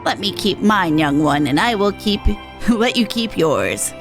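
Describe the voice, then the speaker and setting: A woman's voice: medium pitch, sing-song, unhurried, vocal fry. A woman voicing a sly, secretive female dealer from a fantasy game, arch and knowing in formal phrasing. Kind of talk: reading fantasy game dialogue aloud. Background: game music.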